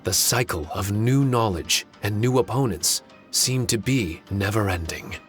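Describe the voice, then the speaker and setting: A man voicing a courteous, solemn ancient guardian spirit in an anime game, speaking formally and in a measured way. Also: fairly low pitch, faint music behind, close to an everyday voice. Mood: solemn, reflective.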